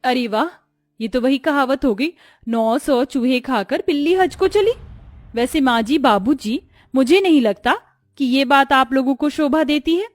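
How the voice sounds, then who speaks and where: A woman's voice: sits high, a little slow, no room sound. A woman voicing a distressed, tender-hearted young Indian daughter-in-law, plaintive and lamenting, in a cartoon story. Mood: reproachful.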